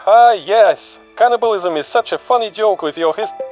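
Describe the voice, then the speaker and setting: Casting: male actor as smug, paranoid know-it-all in a sci-fi audio drama, high voice, faint music behind. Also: moderate pace, a little mellow, lightly acted. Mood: smug warning. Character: argumentative, paranoid human conspiracy theorist.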